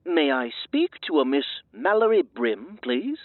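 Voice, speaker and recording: A man's voice: on the high side, big pitch swings, measured pace, no echo. A man playing a polite, hesitant, formal attorney in a comedy audio drama, heard through a telephone filter. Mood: apologetic, formal. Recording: tinny band-limited phone line.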